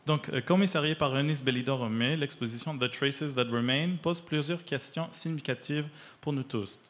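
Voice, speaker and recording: A man's voice: medium pitch, normal speed. Male arts columnist, French speaker. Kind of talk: prepared radio-style arts review with some reading.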